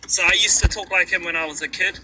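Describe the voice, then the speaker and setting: Kiwi-accented man, relaxed and flat-toned, talking on a call from his car, pitched fairly high, background noise. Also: quick pace. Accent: New Zealand. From New Zealand. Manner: laid-back, a bit monotone. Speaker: group chat member. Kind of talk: casual group call. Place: in a car, driving.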